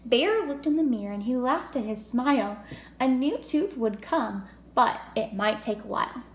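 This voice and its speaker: Woman, kindergarten teacher, slightly high creaky voice, unhurried storybook reading, child-directed asides, echoey room. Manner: expressive, child-directed, asking questions and commenting. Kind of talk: storybook read-aloud with chatty asides to children. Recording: echoey room with faint noise.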